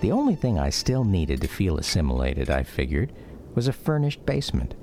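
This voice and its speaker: A man's voice: deep voice, big pitch swings, normal speed, mellow. An actor with an American accent playing a grown son narrating memories of his childhood in a radio drama. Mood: reflective. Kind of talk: scripted radio drama narration, first-person memoir. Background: music under the voice.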